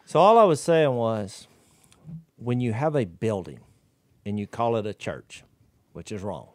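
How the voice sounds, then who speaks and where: A man, co-hosting a podcast, talking in an animated, riled-up way on a studio mic. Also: mid-range voice, a little slow, no echo. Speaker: podcast co-host. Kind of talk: casual religious discussion. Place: wood-paneled studio room. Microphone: studio mic with headphones.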